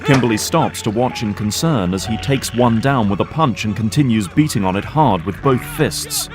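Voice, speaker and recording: A man's voice: on the low side, lively intonation, moderate pace, over music. A man narrating a scripted audio drama.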